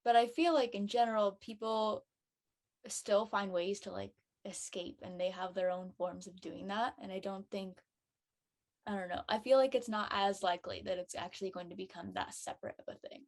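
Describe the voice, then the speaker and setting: A woman's voice: medium pitch, fairly even intonation, moderate pace. A woman talking casually as a panelist, reflective and a bit tentative about her opinions.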